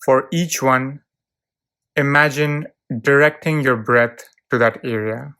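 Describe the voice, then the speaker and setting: A narrator guides a relaxation exercise in a clean, smooth voice at a medium pitch. The delivery is very slow and soothing, with short calm phrases set apart by long pauses. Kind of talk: guided visualization, relaxation script.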